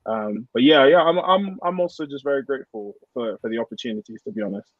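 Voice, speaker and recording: A man's voice: medium pitch, quick pace, no echo. Man of thirty, dry dull recording. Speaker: guest, American football player. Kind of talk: casual podcast chat.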